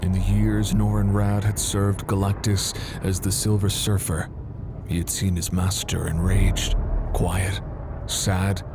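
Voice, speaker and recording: A man giving solemn, dramatic scripted narration in a grave, measured manner. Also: sits low.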